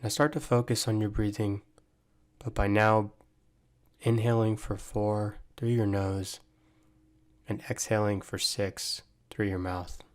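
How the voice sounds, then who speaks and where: A man guiding a body scan meditation, giving gentle, measured instructions with long pauses. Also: fairly low pitch, level tone. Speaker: meditation guide, mental performance coach.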